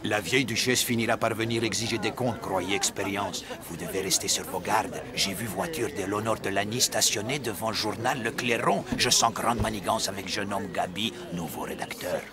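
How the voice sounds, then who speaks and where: Man playing a deep-voiced sly foreign villain. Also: moderate pace, hardly any pauses, crowd noise, close to an everyday voice. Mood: menacing. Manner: broken French dropping articles, sly. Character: sinister foreign male henchman, slyly menacing, falsely courteous. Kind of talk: French cartoon acting.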